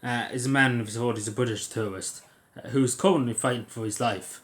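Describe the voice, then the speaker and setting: A man's voice: mid-range voice, steady tone, a little fast, fairly bright tone. An Irish man giving his opinions in a casual vlog, rambling with frequent restarts and fillers. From Ireland. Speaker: vlogger. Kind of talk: opinion commentary to camera.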